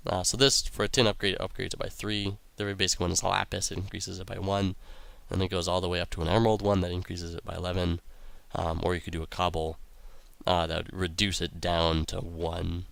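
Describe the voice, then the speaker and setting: Young male let's-play host, low and raspy from a cold, rambling casual commentary, dry close mic. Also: flat delivery, a little fast, fairly bright tone, no echo. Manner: rambling, many ums and uhs, reads quest text aloud. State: raspy voice from illness.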